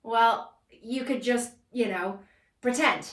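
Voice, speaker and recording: A woman's voice: fairly high pitch, slow pace, a bit bright, echoey room. A woman hosting a children's puppet show, telling an interactive story in a playful, coaxing, sing-song way.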